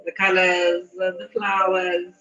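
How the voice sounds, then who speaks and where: A woman leading a guided meditation in a soothing, unhurried manner with long pauses. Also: fairly low pitch, level tone, pushed and bright. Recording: muffled, echoey, distant, music behind. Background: meditation music.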